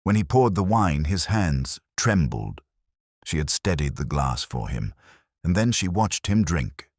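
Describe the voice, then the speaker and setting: Very deep, husky male narrator. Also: sing-song, no room sound, studio quality. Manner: measured, literary, solemn. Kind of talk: first-person scripted detective story narration.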